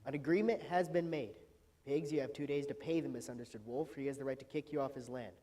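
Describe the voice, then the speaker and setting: A man as a mellow, officious court announcer. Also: mid-range voice, fast, natural voice. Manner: formal, orderly. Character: officious royal court announcer keeping order. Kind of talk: stage comedy fairy-tale acting.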